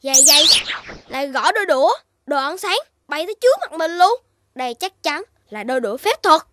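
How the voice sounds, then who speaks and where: Very high, cocky little puppy boy. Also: sing-song, fairly quick, very noisy. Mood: boastful, excited. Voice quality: childlike. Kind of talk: animated children's cartoon acting. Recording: music behind the voice.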